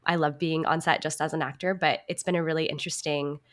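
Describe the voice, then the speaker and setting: A woman's voice: sits low, fairly even intonation, fast talker. A woman answering interview questions conversationally, in a reflective and earnest manner. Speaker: podcast guest, actor and writer.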